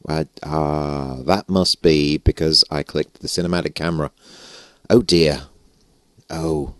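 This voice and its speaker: British male gamer, hoarse and gravelly, very low, self-mocking rambler, dry sound. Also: fairly even intonation, a little slow, a bit bright, no room sound. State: hoarse, gravelly voice after losing it. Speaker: gaming YouTuber. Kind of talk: casual let's-play commentary. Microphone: stage vocal mic close up.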